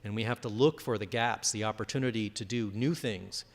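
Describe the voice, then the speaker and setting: A man's voice: lowish voice, brisk. A man giving a conference presentation and fielding audience questions. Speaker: conference speaker. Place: large conference room. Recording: reverberant hall.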